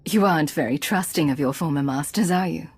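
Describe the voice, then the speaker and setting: A woman's voice: sits low, a little fast, darkish tone, slightly rough. An actress playing a cool, composed female secret agent with a dry, controlled manner, in a video game.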